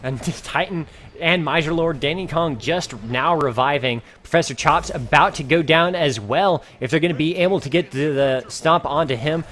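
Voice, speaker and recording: A man casting a live video game match, energetic and climbing in pitch and volume as the fights heat up. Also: normal speed, slight background noise. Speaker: esports caster. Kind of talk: play-by-play game commentary.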